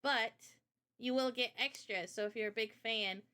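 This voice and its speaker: A woman with a slightly high voice talks in a relaxed livestream presentation style. She explains her work as a game developer in informal, slangy language. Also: normal speed. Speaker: game developer presenting a visual novel project.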